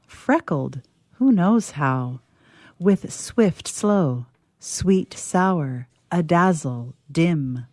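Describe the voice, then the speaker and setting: A woman with a low voice and a slow, measured pace. She speaks as a poetry reader on a radio theatre show, and the sound is very dry. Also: a little mellow, no echo. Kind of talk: casual talk and measured poetry recitation.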